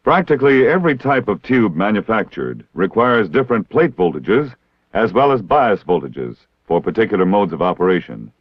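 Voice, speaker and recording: An American man narrating a scripted training film in a formal, measured, precisely enunciated way. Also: on the low side, very animated, no room sound. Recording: dull 1960s film soundtrack.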